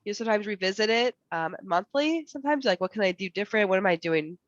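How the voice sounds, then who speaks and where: A woman on a panel with a cold and a voice that is going, giving advice haltingly with many fillers. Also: mid-range voice, animated, no room sound. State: fighting a cold, losing her voice. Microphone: video call. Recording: dull dry video call audio.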